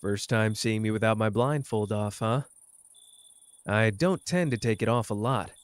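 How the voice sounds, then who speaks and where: A man's voice: lowish voice, measured pace, clean tone, no room sound, natural voice. Guy voicing a cocky but tender young sorcerer, asmr roleplay, takes his time, dead dry sound. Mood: tender, teasing. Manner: informal, hesitant when talking about feelings. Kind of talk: intimate roleplay audio acting.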